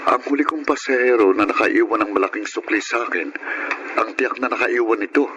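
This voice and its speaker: An actor playing a kind, honest young tricycle driver and older brother in a radio drama, speaking earnestly and politely. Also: male voice, medium pitch, brisk, noisy background, natural voice. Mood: puzzled, earnest. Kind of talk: Filipino radio drama acting.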